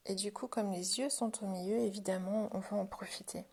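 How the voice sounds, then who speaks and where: A woman leading a guided self-help practice as an instructor, speaking informally in a gentle, reassuring way, with a breathy voice that often drops toward a whisper. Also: mid-range voice, fairly even intonation, brisk, slight background noise. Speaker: Jin Shin Jyutsu self-help instructor.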